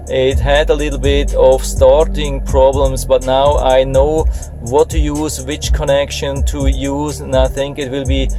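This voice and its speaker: Evenly spoken man reviewing a product. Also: on the high side. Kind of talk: casual hands-on unboxing and review.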